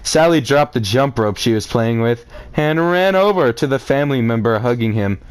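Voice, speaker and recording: A man's voice: medium pitch, lively intonation, moderate pace, smooth. A man reading a story aloud as a comedic reader, adding exasperated, sarcastic commentary in a theatrical way.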